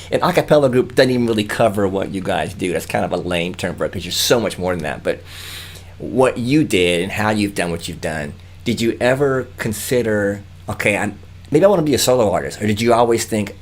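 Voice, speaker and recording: A man hosting a podcast interview in a playful, enthusiastic way, often laughing and teasing his guest. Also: on the low side. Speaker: podcast host. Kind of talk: casual interview.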